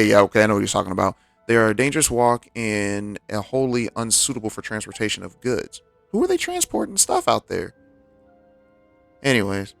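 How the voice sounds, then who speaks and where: Male streamer, casual and halting, creaky lowish voice, close dry sound with faint game music. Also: dry recording. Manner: halting, rambling asides. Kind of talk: casual playthrough commentary, reading game text aloud.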